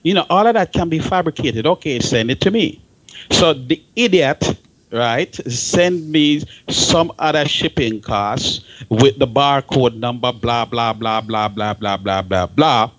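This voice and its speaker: A man's voice: medium pitch, measured pace, a bit bright, dry recording, low-quality recording. A man with a Jamaican accent speaking in an opinionated radio discussion, emphatic and often repeating words for stress.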